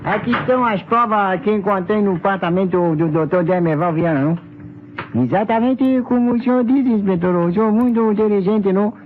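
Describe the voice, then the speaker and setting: An actor playing a polite, deferential police assistant with halting foreign phrasing in an old radio mystery. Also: medium pitch, a little hiss or hum, lightly acted. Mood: polite, eager. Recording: tinny, phone-like old radio recording.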